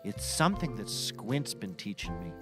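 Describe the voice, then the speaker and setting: A timid, earnest comedy sidekick, acted by a man, pleads with extremely animated pitch. He comes across as put-upon and sincere. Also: moderate pace, over music. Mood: earnest, hurt. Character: earnest, meek, put-upon male friend.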